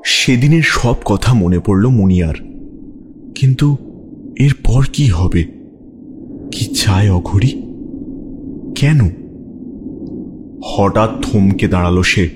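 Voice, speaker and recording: A man's voice: lowish voice, expressive, takes it slowly, long pauses, noisy background. A man giving a dramatic, suspenseful scripted narration of a horror story. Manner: suspenseful, theatrical.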